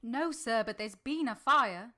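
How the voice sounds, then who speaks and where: A woman playing a quiet, plain-spoken young governess in a vintage-style radio drama, earnest and measured, both in dialogue and first-person narration. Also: a little high, noisy background, natural voice. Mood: alarmed, urgent.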